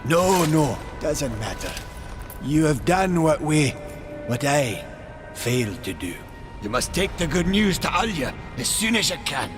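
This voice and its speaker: A man's voice: on the high side, sing-song, unhurried, over music. A man playing a chatty, wily and cowardly Maker trader in a fantasy game, with a folksy, sly way of talking. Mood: grateful.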